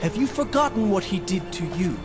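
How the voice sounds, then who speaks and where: High, intense young warrior prince. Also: male voice, lively intonation, a little fast. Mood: impatient. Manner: intense, grave, theatrical formal phrasing. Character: brooding, vengeful young warrior prince, driven and impatient. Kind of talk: dramatic video game voice acting. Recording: loud game music over the voice.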